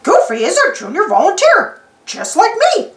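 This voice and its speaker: A woman's voice: very high-pitched, huge pitch swings, moderate pace, roomy sound, voice pushed up, exaggerated voice. A woman voicing a goofy, boastful but good-hearted male cartoon dog, proud and eager. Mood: friendly, eager.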